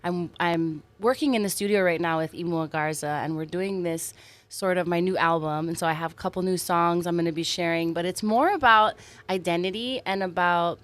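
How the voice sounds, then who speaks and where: A woman's voice: lowish voice, fairly quick. Earnest woman from Hawaii, chatty. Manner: warm, earnest, animated storytelling with soft laughs. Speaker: singer-songwriter guest. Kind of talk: casual interview chat.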